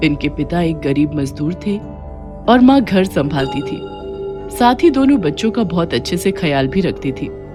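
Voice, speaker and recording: A woman storyteller reads a scripted children's tale in a slightly low voice. Faint music plays behind her narration. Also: sing-song, moderate pace.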